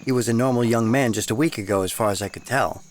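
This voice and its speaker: An actor playing a polite, inquisitive geologist asking questions. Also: male voice, medium pitch, quick pace, a little hiss or hum, natural voice. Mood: earnest.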